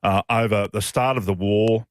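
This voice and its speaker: An Australian man co-hosting live talk radio, speaking emphatically and repeating himself in a halting flow. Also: lowish voice, fairly even intonation, dry recording, studio quality. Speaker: radio talk show co-host. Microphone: close studio radio microphone.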